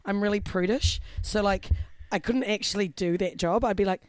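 A woman with a husky voice that she nearly lost, chatting casually and telling jokes in quick, rambling asides. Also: mid-range voice, no room sound. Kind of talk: casual chat.

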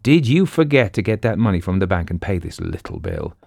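A smooth-talking know-it-all con man, bossy and breezy, voiced by a man reading an audiobook. The voice is gravelly and sits low, taken close in a dry room. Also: wildly swooping pitch, brisk, darkish tone, dry recording, heavily acted. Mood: wry. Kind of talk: audiobook character voice.

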